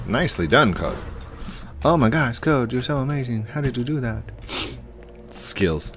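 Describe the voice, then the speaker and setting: A man's voice: medium pitch, expressive, a little slow, slight background noise. A middle-aged American man giving casual, playful gameplay commentary, rambling to himself and his viewers. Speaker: gaming video host. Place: indoors at a desk, webcam stream setup.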